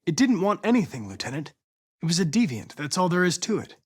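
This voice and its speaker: A polite, determined young male android investigator for a video game. His voice sits fairly high for a man and swings widely in pitch. He speaks in formal, exact, controlled phrases, set on his police mission. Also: big pitch swings, fast, husky, no echo, clean studio sound. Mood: matter-of-fact. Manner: formal, precise, controlled diction.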